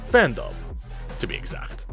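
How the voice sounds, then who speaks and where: A man hosting a casual reaction video as an amateur voice actor, talking in deliberate, halting phrases. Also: over music.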